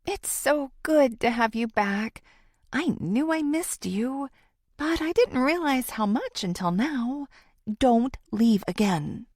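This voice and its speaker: A woman's voice: sits high, expressive, slow pace, a little mellow, no echo, clean studio sound, pitched above the performer's own voice, full character voice. A woman voicing an anxious, apologetic woman confiding in her best friend in an audiobook, hesitant and pleading. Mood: warm, teasing.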